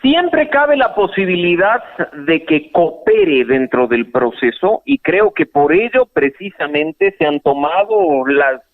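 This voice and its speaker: A man's voice: on the high side, very animated, slow pace, no room sound. A male lawyer, speaking as a radio guest over the phone and explaining legal points in a composed way, with the odd hesitation. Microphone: telephone line. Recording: dry, tinny phone line.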